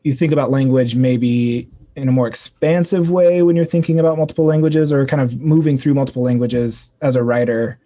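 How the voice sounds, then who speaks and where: Mellow-voiced male festival host. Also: medium pitch, steady tone, a little fast, few pauses. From North Carolina. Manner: thoughtful, meandering questions. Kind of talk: hosting a festival talk.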